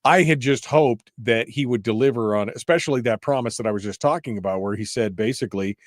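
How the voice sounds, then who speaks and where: A man's voice: mid-range voice, fairly quick, no echo, clean studio sound. A man hosting a political talk radio show, talking conversationally and often restarting his phrases for emphasis.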